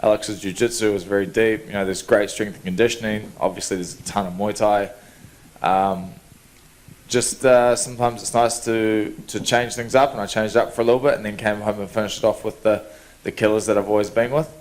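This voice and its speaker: A young man, answering reporters' questions in an easygoing, relaxed way at a media day. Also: on the low side, a little fast, low-quality recording. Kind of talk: press scrum answers.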